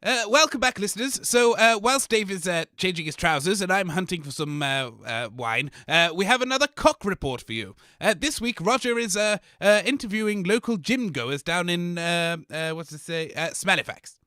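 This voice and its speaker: Man acting as a hesitant seedy comedy host, high-pitched male voice, theatrical stammer, cowardly, very dry sound. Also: sing-song, normal speed, hardly any pauses, bright, forceful tone, no room sound, full character voice. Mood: rambling. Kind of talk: audio sketch comedy acting.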